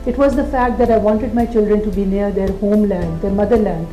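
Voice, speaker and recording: A middle-aged woman with a Pakistani accent, a school founder speaking in a documentary interview and building her points in long, measured lists. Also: mid-range voice, steady tone, dark tone, reverberant, soft background music, low-quality recording.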